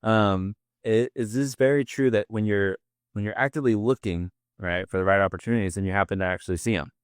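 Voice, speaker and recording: A man interviews a guest with upbeat, encouraging energy. His voice is fairly low and smooth. The recording is dry and close. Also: a little fast, dry recording. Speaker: interviewer, host.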